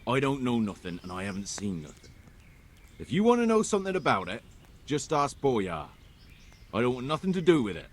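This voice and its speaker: An actor playing a nervous peasant in a video game who refuses to get involved and points elsewhere. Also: male voice, mid-range voice, wildly swooping pitch, fairly quick. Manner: jittery, stonewalling. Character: nervous evasive peasant man.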